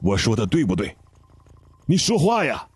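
A man voicing a brave male worker who loudly scolds a troublemaker and defends his crew. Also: medium pitch, wildly swooping pitch, moderate pace, breathy edge, no echo, heavily acted. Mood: scolding. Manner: loud, scolding, passionate.

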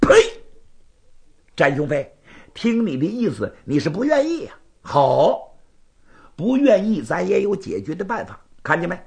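A man's voice: sits high, wildly swooping pitch, moderate pace, vocal fry, a little mellow, pitched above the performer's own voice, exaggerated voice. A male storyteller voicing a proud, hot-headed young swordsman, brusque and imperious as he challenges a rival. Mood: angry, threatening. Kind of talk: Chinese storytelling performance.

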